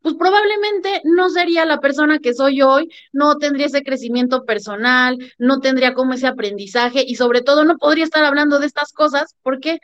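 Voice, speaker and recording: A woman, a podcast guest arguing her point with emphatic, lively storytelling. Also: high voice, fairly quick, hardly any pauses. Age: 30s. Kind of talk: conversational podcast talk. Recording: muffled lo-fi sound.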